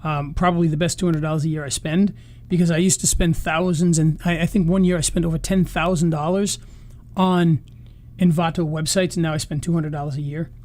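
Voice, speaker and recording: A man's voice: on the high side, fairly even intonation, fairly quick, darkish tone. A man hosting a live marketing session, talking loosely and rambling with restarts, starting to lose his voice. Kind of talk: casual live-stream wrap-up.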